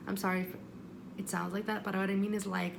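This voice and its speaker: A woman vlogger talks casually in a mid-range voice with a lot of vocal fry. She rambles through her story expressively, slipping in self-deprecating and apologetic asides. There is clear noise on the home-style recording. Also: mid-range voice, flat delivery, fast. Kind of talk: casual first-person storytelling.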